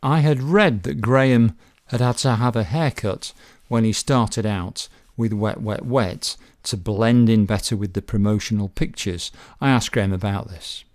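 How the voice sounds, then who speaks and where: Gravelly-voiced radio presenter. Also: male voice, fairly low pitch, a little slow, few pauses, a little mellow. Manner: friendly, curious questioning. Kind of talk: radio interview.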